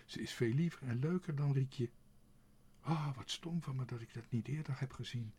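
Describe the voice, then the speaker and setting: A man's voice: medium pitch, big pitch swings, unhurried, dark tone, husky, pitched above the performer's own voice, heavily acted. A man playing a bewildered, smitten young man in a storybook, musing and hesitant. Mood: smitten, flustered.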